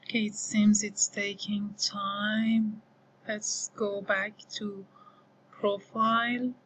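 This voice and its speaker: A woman whose first language is Farsi, slowly walking through a software screen as an online course instructor, thinking aloud with many pauses and fillers. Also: medium pitch, little pitch movement, slightly rough, rough recording. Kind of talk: screen-recorded tutorial walkthrough.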